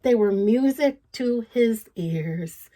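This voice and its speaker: A woman reading a story aloud for children in an expressive, playful, dramatic way, putting questions to her listeners. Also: on the high side, slow. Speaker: storybook reader.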